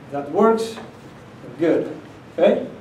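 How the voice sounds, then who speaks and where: A middle-aged Argentine-accented man speaks at a podium in a conference room, his voice set a little higher than most men's. He keeps it conversational and emphatic, checking in with the audience often. The sound is roomy and picked up from across the room. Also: lively intonation, takes it slowly, darkish tone, slight background noise. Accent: Argentine Spanish. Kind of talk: academic conference talk. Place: conference room with projector screen. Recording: echoey conference room, captured from a distance.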